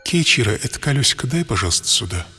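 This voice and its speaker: An actor playing a kind inventor father in an audio drama, coaxing his small son gently. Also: medium pitch, very animated, quick pace. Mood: gentle. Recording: loud music over the voice.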